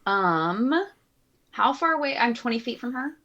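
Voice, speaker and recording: A woman's voice: fairly high pitch, animated, unhurried, bright, forceful tone, highs cut off. A woman playing a tabletop game, talking casually and playfully as she describes what her character does. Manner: playful, jokey. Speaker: player.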